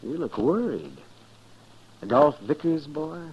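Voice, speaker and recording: A crusty, teasing Old West doctor chats wryly with big swings in a fairly high voice. It is an old radio drama with muffled sound and faint noise. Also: male voice, big pitch swings, takes it slowly, darkish tone. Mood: sympathetic. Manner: chatty, wry. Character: crusty, sociable, teasing frontier town doctor. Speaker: actor in a western radio drama. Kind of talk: 1950s radio acting. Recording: dull, slightly noisy 1950s radio recording.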